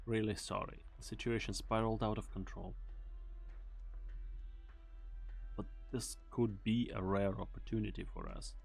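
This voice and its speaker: Guy voicing a serious merc captain, gravelly and measured, fan dub style game acting, music behind. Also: lowish voice, level tone. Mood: serious, firm. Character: grave, calculating male mercenary team leader.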